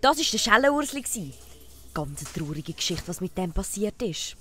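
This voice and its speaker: An actress playing a brisk, gossipy village tavern keeper in a Swiss German comic audio drama. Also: female voice, fairly low pitch, big pitch swings, fairly bright tone, soft background music. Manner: no-nonsense, gossipy.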